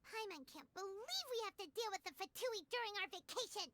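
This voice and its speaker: A woman's voice: very high voice, animated, fairly quick, sharp tone, no echo, exaggerated voice. A woman voicing a small, childlike, sassy and easily scared fairy companion in a video game. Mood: exasperated. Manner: refers to self in third person, exclaiming.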